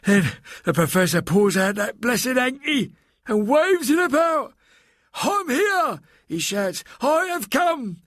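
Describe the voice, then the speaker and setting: A man voicing a hulking, terrified working-class woman servant who speaks frantically and breathlessly in rough, rustic grammar. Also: very high-pitched, sing-song, slow, a bit bright, no echo, clean studio sound, pitched above the performer's own voice, heavily acted. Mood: frightened. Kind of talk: audiobook character voice.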